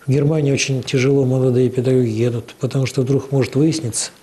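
A man answering interview questions at length as an official, explaining in a measured way with frequent hesitations. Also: medium pitch, steady tone, warm tone. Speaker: interview guest, cultural organization official.

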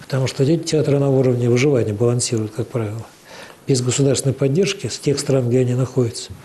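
A man answering interview questions at length as an official, explaining in a measured way with frequent hesitations. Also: medium pitch, steady tone, dark tone, slight background noise. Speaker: interview guest, cultural organization official.

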